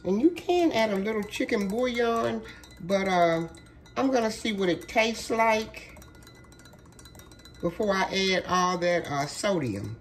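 A woman's voice: mid-range voice, animated, takes it slowly, slight background noise. A woman hosting a home cooking video, chatty and folksy, her voice worn from a cold. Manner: chatty, folksy, with many fillers. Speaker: cooking show host. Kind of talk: casual cooking demonstration. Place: home kitchen.

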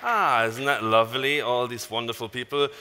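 A man's voice: medium pitch, moderate pace, very noisy. A man in his thirties with a German accent, a native German speaker, giving an informal, joking tech talk on stage as a conference speaker. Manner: animated, joking, casual swearing. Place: large conference hall stage. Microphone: headset. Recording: large hall reverb.

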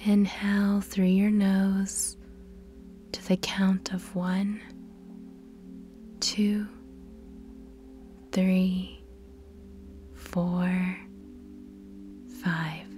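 A woman leads a guided meditation over clearly present music. Her delivery is extremely slow and measured, with pauses between instructions. The voice is soft and breathy, often slipping toward a whisper, and stays very even in pitch. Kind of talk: scripted guided meditation narration. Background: background music.

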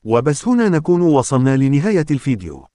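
A man's voice: medium pitch, big pitch swings, quick pace, dark tone, no echo, studio quality. A man hosting and narrating a video in a scripted creator style.

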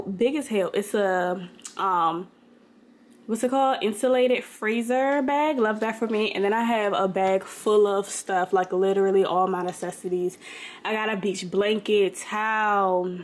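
Chatty young woman talking to camera. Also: a little high. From United States. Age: 20s. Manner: chatty, addresses viewers as girl. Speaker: vlogger. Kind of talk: casual chat to camera.

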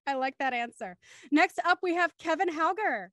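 A woman's voice: sits high, expressive, unhurried, fairly bright tone, no echo. A young woman hosting a livestream show in an upbeat presenting style, speaking into a studio microphone. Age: young adult. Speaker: show host. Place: small room at home, video call. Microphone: studio microphone on a stand, headphones.

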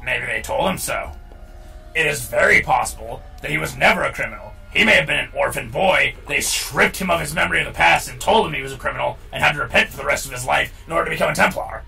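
A man's voice: fairly high pitch, animated, fast, gravelly, bright, forceful tone. A man hosting a gaming show with casual, opinionated commentary, emphatic and prone to swearing. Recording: distant mic, game music underneath.

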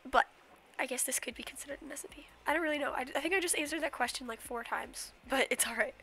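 A woman's voice: high voice, fast, pushed and bright, slight background noise. A young woman streaming a game from her bedroom, rambling casually and humming silly nonsense sounds, a little sniffly from a cold. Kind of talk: casual livestream commentary while playing.

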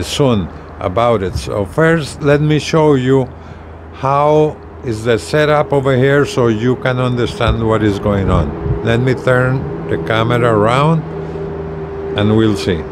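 A man in his sixties giving a casual, plain-spoken, step-by-step product demonstration with halting phrasing. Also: mid-range voice. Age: 60s. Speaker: gadget reviewer. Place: outdoors on a residential balcony. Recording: clear outdoor ambient noise.

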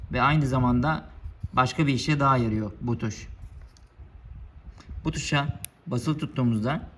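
A man presenting a product for a motorcycle shop, explaining its buttons one by one in a steady, formal way, recorded indoors under a building. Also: medium pitch, noisy background. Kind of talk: product demonstration.

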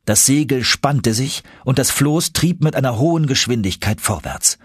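A man narrating an audiobook story in an expressive, suspenseful, dramatic manner. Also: medium pitch, normal speed, slightly rough, no room sound, studio quality.

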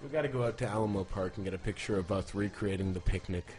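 A man's voice: fairly low pitch, quick pace. A man co-hosting a podcast, chatting casually and jokingly. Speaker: podcast co-host. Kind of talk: casual comedic podcast chat. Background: loud music.

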